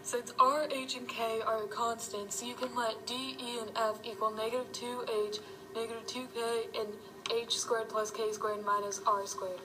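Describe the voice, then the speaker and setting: A puppet explainer, played by a woman, recites maths like a textbook in a slightly high voice. It sits back from the mic under clear music. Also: close to an everyday voice. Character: friendly sock puppet explaining circles to kids. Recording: distant mic, music over voice.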